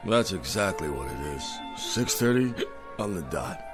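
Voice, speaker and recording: A tipsy, wistful down-and-out Santa in a radio play. The man's voice is low and slow, rambling and mock-courtly, with swooping intonation. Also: very animated, over music. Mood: tipsy, dry. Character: boozy, wistful down-and-out department store Santa. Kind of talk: radio drama acting.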